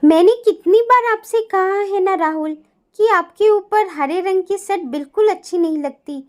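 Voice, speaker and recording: A woman's voice: extremely high pitch, a little mellow. A woman voicing an anxious, insistent young bride-to-be in a cartoon horror story. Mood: playful scolding. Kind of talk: Hindi cartoon story acting.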